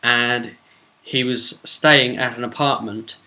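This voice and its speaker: A man's voice: mid-range voice, fairly even intonation, slow, bright, forceful tone, low-quality recording. A man with a British accent hosting a casual, unscripted tabletop role-playing recap as game master, chatty and rambling. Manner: rambling, chatty, acts out bits of dialogue.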